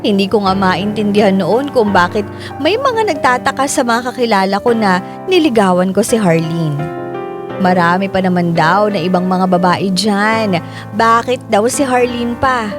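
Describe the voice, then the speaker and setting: A woman's voice: medium pitch, animated, normal speed. A woman delivering scripted first-person storytelling as the letter narrator of a radio drama. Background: music.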